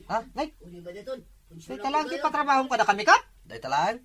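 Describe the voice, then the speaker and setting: A woman with an Ilocano accent firing excited questions in a comedy skit. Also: high-pitched, moderate pace.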